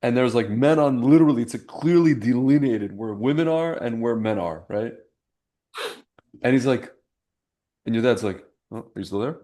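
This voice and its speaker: A man's voice: medium pitch, animated, moderate pace, warm tone. Rambling man hosting a casual chat. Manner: rambling, many restarts and fillers. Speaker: podcast host.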